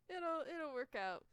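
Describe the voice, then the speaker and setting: High-voiced, animated woman game master. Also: slight background noise. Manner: giggly, amused. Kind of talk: casual, improvised tabletop game narration.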